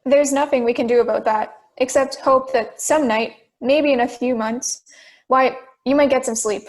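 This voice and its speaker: A young woman acting a calm, persistent juror in an audio drama. The voice is high, with a deliberate, questioning delivery that reasons step by step. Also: natural voice. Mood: firm, patient.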